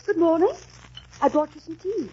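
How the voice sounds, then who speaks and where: An actress playing a kind, brisk young woman in a radio drama, coaxing and reassuring. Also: extremely high pitch, animated, mellow. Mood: gentle, reassuring. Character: kind, brisk young woman dancer befriending a lost soldier. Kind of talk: 1940s radio drama acting. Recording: muffled, noisy 1940s radio recording.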